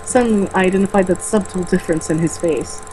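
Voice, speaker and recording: A woman's voice: lowish voice, fast, noisy background. A woman reading a first-person novel aloud informally, occasionally stumbling over words and rereading them. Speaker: amateur reader in a comedic read-aloud video.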